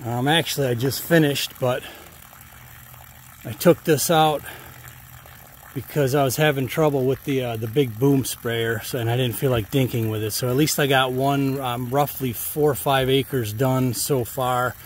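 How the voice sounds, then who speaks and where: A man's voice: mid-range voice, steady tone, measured pace. An American man giving casual, folksy vlog commentary in a conversational way, recorded outdoors on a farm. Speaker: farm vlogger. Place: outdoors on a farm, partly in a tractor. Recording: clear outdoor vehicle and ambient noise.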